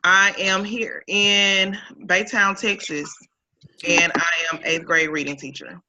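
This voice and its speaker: A woman, a reading teacher, introducing herself in a relaxed, joking way on a video call. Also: mid-range voice, slow pace. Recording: dull lo-fi call audio with faint noise.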